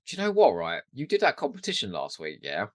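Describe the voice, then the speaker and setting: Animated, jokey man on a podcast. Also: fairly low pitch, quick pace, no room sound, studio quality. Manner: jokey, self-deprecating, rambling. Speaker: podcast co-host. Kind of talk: casual chat.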